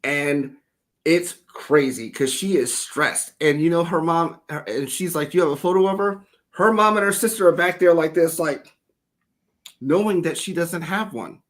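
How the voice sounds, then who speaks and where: A man's voice: a little high, moderate pace. A man hosting a casual livestream, talking loosely and animatedly as he breaks down video footage, with the odd curse. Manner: animated, loose storytelling with profanity.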